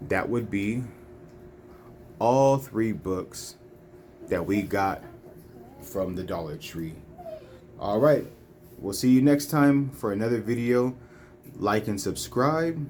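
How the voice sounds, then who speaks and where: A woman reading children's storybooks aloud in a deliberate, phrase-by-phrase way. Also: a bass voice, animated, darkish tone. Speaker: storybook reader. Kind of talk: children's read-along narration. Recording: faint background noise, home recording.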